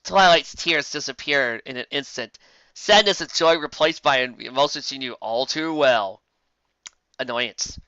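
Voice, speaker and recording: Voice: mid-range voice, unhurried, bright, forceful tone, no echo, muffled recording. A narrator reading fan fiction aloud in a dramatic, emotive way. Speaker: story narrator.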